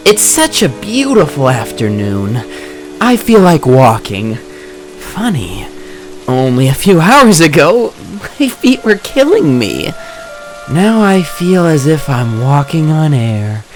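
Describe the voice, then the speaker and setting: A woman's voice: low-pitched, big pitch swings, slow, exaggerated voice, rough recording. A woman voicing a dreamy, smitten female reporter, musing aloud in a comic-book dub. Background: music.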